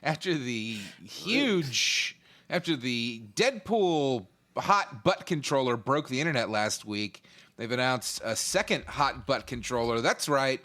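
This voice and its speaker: A man's voice: medium pitch, big pitch swings, slow, bright, forceful tone. A man co-hosting a gaming podcast, joking around with a dry, sarcastic edge. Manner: jokey, sarcastic. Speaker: podcast co-host. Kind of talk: casual chat.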